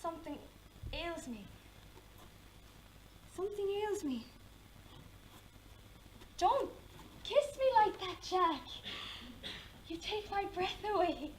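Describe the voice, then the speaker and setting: An actress playing a young wife driven mad by grief, drifting between dazed murmurs and screams. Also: female voice, very high voice, lively intonation, takes it slowly, long pauses, reverberant, slight background noise, exaggerated voice, low-quality recording. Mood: dazed, faint. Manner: distraught, wandering, breaking into screams. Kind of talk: stage drama.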